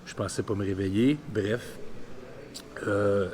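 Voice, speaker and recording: A man's voice: lowish voice, steady tone, slow pace, darkish tone. A man of about fifty with a Quebec French accent, telling a personal story candidly and colloquially in a vlog. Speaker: vlogger.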